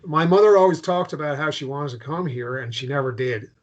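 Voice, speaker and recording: Man playing small-town American traveller: hesitant, stammering, apologetic, quietly melancholy drama role. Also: fairly high pitch, fairly quick, muffled recording, natural voice. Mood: wistful. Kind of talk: drama table read.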